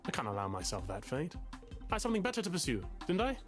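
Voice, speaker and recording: A man's voice: on the high side, huge pitch swings, quick pace, over music. An actor playing a self-pitying male writer in a visual novel, musing in a theatrical, florid and anguished way. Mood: despairing, resolute.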